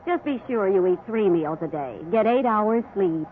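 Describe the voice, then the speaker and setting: A woman's voice: medium pitch, expressive, normal speed, natural voice. Casting: female actor for middle-aged American wife, doting and fussy, fifties radio drama, narrow vintage sound. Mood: fond, fussing. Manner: affectionate, mildly nagging. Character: fond, fussing middle-aged wife, gently teasing. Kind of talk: 1950s radio drama acting. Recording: band-limited 1950s radio recording with hiss.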